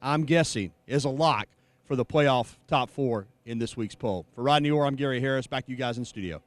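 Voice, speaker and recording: An American man gives a live sports report. He talks very quickly at a middling pitch, like a reporter wrapping up a game. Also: no room sound.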